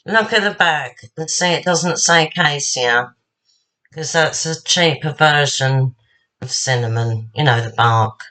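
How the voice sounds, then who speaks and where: A woman, slightly husky and tired, chatting casually to herself while making a salad at home. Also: low voice, unhurried, pushed and bright. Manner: chatty, rambling, talks to herself while working. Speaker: home cook. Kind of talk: casual cooking demonstration.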